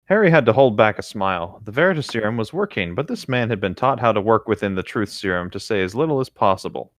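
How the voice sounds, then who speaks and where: A man reading a story aloud for a comedy podcast as co-host, in an amused, expressive way. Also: on the low side, quick pace, no room sound, studio quality.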